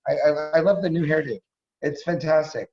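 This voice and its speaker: A man's voice: a little high, normal speed, darkish tone. A middle-aged man casually introducing performers as host of a staged reading over a video call, effusive and repeating himself for emphasis. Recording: dull video call audio.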